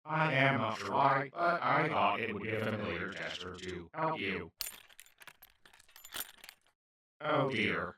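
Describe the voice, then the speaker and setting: A man playing a kind, awkward alien in a comedic audio roleplay, speaking to the listener in a gentle, earnest, apologetic and slightly formal, rambling way. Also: lowish voice, a little hiss or hum. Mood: fussing, reassuring. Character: kind, awkward, well-meaning male alien abductor. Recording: roomy, echoing, distant-sounding voice.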